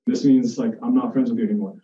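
A man's voice: medium pitch, steady tone, warm tone. Muffled, echoey man lecturing informally. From Jordan. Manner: halting, restarts phrases, conversational, explanatory. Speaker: lecturer in psychiatry. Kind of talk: informal spoken lecture with personal anecdotes. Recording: muffled, echoey room, distant mic.

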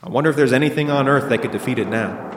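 A man's voice: medium pitch, racing, very noisy, lightly acted. A man playing a grave, analytical scientist in monster audio drama dialogue. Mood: grave, worried. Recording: echoey hall reverb under music.

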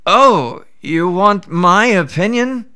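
A cunning little jackal speaks in a raised, bright voice as a man reads the tale aloud. He drags out each question, pretending to be slow of understanding. The tone swoops around with lively pitch. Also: clear, resonant tone, full character voice. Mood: sly. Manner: slow, feigned puzzlement, drawn out. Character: sly clever little male jackal.

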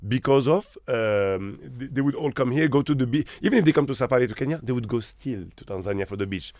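Animated man from Morocco, emphatic. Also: mid-range voice, fast talker, no echo, studio quality. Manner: animated, emphatic, rhetorical questions. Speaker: hotel manager. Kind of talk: interview guest.

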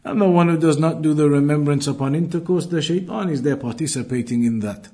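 A man teaching an Islamic lesson, explaining in a deliberate way and repeating his points. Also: fairly high pitch, warm tone. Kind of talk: religious lesson, reading Arabic then explaining.

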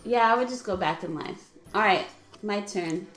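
A woman's voice: medium pitch, moderate pace, a bit bright, reverberant, soft background music. A woman in her late twenties hosting a casual chat with friends, chatty and swearing, laughing often. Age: 20s. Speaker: podcast host.

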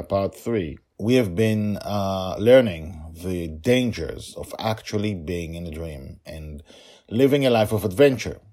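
A man with a husky, rough-edged voice narrating his own fantasy story as a podcast host, with dramatic emphasis and deliberate pauses. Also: low voice, dark tone.

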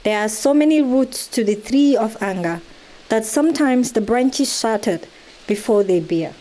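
A woman reciting poetic lines in a measured, deliberate way. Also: fairly high pitch, animated, dark tone, a little hiss or hum, poor audio. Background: music.

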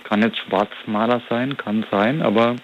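A man's voice: on the low side, fairly even intonation, measured pace, highs cut off, a little hiss or hum. A man of about forty talking in a casual interview, reflective and rambling as he searches for his words. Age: 40s. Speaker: interview guest.

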